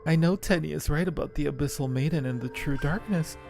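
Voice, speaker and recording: A man's voice: fairly high pitch, fairly quick, vocal fry, a little mellow, pitched above the performer's own voice. A man voicing a lovestruck undead acolyte from a horror game, narrating with earnest, brooding resignation. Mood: fervent devotion. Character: devoted undead cave acolyte, fatalistic and lovestruck. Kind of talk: dramatic reading of game narration. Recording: music behind the voice.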